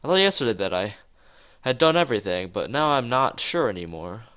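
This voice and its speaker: A man's voice: medium pitch, sing-song, fairly quick, fairly bright tone, clear, resonant tone. A man voicing a detached teenage girl artist in a visual novel, vague, literal and noncommittal. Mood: listless, vague. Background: guitar music.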